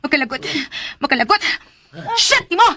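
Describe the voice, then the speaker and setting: A woman's voice: high-pitched, very animated, moderate pace, bright, forceful tone, exaggerated voice. A woman acting a spiteful, hot-tempered, bossy schemer in a melodramatic radio drama. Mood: furious. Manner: bossy, exclaiming, scolding.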